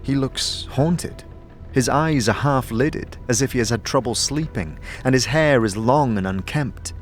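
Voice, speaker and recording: A man's voice: mid-range voice, measured pace, soft background music. A man reading scripted second-person fantasy narration as the storyteller of an audio drama. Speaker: narrator and protagonist's voice.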